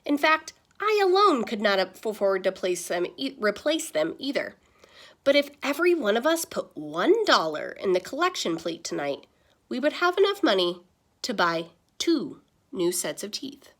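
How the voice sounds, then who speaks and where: A woman's voice: mid-range voice, sing-song, normal speed, fairly bright tone. Woman voicing an earnest, emphatic small-town pastor. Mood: appealing. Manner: emphatic speechmaking.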